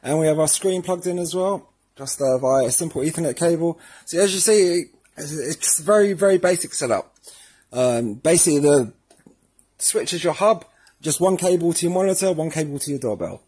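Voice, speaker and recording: Husky, higher-voiced man giving a tutorial. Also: lively intonation, a little fast, mellow. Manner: informal, talking through hands-on steps as he does them. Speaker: tutorial presenter. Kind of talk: casual step-by-step product tutorial.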